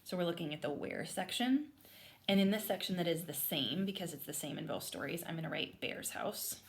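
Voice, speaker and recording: Slightly low-voiced woman teaching young children. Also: lively intonation, a little fast, gravelly. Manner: explanatory, spelling words out while writing. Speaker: elementary school teacher. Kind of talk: interactive read-aloud lesson for children.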